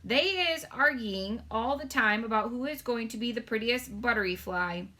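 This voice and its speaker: A woman's voice: a little high, moderate pace, sharp tone. A woman voicing an earnest, touchy giant man in a storybook, speaking emphatically with folksy, muddled grammar. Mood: excited. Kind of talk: storybook read-aloud character voice.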